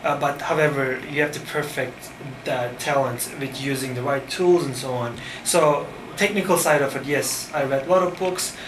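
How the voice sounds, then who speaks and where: A man in his thirties from Turkey, Turkish native, speaking English with a Turkish accent in a casual interview, pausing often with fillers. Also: medium pitch, steady tone. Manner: thoughtful, halting with many fillers. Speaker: design firm founder. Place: cafe-like room with framed wall, indoors. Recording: echoey room with background noise.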